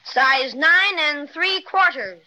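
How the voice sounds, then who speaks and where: A small, obliging male elf in a 1930s radio play. The voice is very high and bright. The delivery is brisk, helpful and efficient. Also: animated, full character voice, rough recording.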